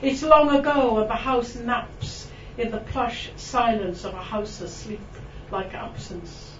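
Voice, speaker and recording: A Welsh woman with a fairly high voice talks to a room of listeners, self-mocking and full of ums. She reads her poems in a slow, lingering way. The recording is distant, echoey and muffled, with clear background noise. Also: fairly even intonation. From Wales. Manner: chatty and self-mocking, with frequent ums and laughs; slow, lingering delivery when reading verse. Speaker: poet giving a reading. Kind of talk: poetry reading with chatty asides. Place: room with a live audience.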